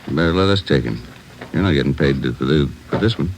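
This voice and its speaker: An actor playing a blunt, skeptical police officer, in 1940s radio drama style. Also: male voice, very deep voice, lively intonation, quick pace, noisy background. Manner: clipped, skeptical.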